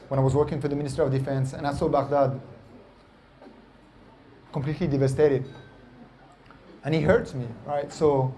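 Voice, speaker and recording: A man from France with a French accent, a company founder answering interview questions in an animated way, often tagging "right?" and "like". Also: on the high side, a little hiss or hum.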